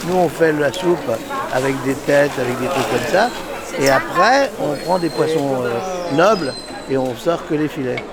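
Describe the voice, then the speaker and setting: A man with a fairly high voice chats informally. He explains things in a matter-of-fact way. A dense, loud crowd surrounds him outdoors. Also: a little slow. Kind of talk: casual conversation, explaining food. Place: outdoors in a busy market.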